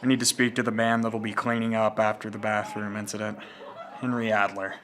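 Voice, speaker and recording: A man's voice: fairly low pitch, flat delivery, fairly quick, a bit bright, slight background noise, natural voice. A man playing a blunt, suspicious police detective in a noir radio drama. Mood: brooding inner monologue. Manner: hard-boiled, interrogating. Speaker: student voice actor. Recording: amateur radio drama with music underneath. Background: music.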